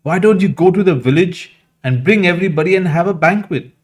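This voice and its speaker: Guy doing a sly little storybook rabbit, bossy, pitched up high. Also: expressive, fairly quick, breathy edge. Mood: scheming. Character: clever, scheming, brisk little rabbit.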